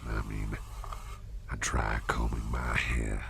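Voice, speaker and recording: A man playing a gentle, doting businessman boss in an ASMR roleplay, murmuring soothingly in a gravelly, breathy half-whisper. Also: a bass voice, monotone, slow, a little hiss or hum, heavily acted. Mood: musing, bemused. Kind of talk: ASMR roleplay, personal attention.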